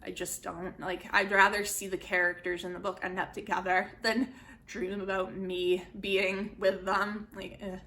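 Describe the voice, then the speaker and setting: Bright-voiced woman chatting about books. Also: mid-range voice, level tone, measured pace. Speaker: book vlogger. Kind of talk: casual book chat to camera.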